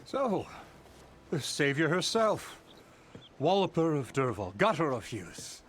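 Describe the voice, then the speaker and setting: Grandiose smarmy labor boss, swoopy sing-song voice, game dialogue. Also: male voice, on the high side, slow, a little hiss or hum. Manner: grandiose, flattering, slyly evasive. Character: pompous blustering middle-aged male labor boss.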